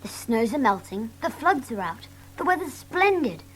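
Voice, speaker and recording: Blunt, animated young boy. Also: on the high side, normal speed, faint music behind, low-quality recording. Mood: eager. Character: grumpy but adventurous young boy. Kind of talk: dramatised audiobook acting.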